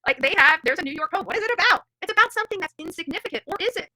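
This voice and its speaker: A woman's voice: very high-pitched, animated, racing, pushed and bright, dry recording. A woman hosting a true crime livestream, telling stories and commenting informally in a brash, feisty, rambling way. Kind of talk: informal live commentary with storytelling and reading aloud.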